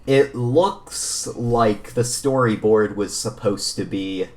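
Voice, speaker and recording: A man co-hosting a podcast in casual gaming chat, informal and somewhat halting as he corrects himself. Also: fairly low pitch, a little mellow. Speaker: podcast co-host.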